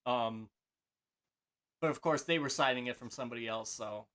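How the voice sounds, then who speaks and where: A man's voice: mid-range voice, moderate pace, fairly bright tone. A man co-hosting a casual wrestling podcast, rambling with many fillers and restarts. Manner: rambling, filler-heavy, hesitant. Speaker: podcast co-host.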